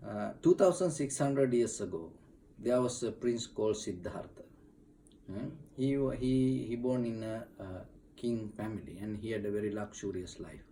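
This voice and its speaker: A man, a Buddhist monk being interviewed in a temple, explaining slowly with many fillers and restarts. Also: fairly low pitch, warm tone, a little hiss or hum, poor audio. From Sri Lanka.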